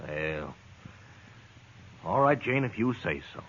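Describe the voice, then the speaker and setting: A man's voice: sits low, very animated, slow, a bit bright, muffled recording, heavily acted. An American actor playing a wary cowboy prisoner devoted to his sweetheart in a 1950s radio western. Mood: wary, reluctant. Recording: band-limited, slightly noisy old radio recording.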